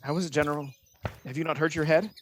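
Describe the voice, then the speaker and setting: A man's voice: fairly high pitch, fairly even intonation, background noise, natural voice. A man acting a manipulative villain in a Shakespearean radio play, insinuating and theatrical, posing as a loyal officer. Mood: feigned concern. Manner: insinuating, measured, theatrical verse delivery.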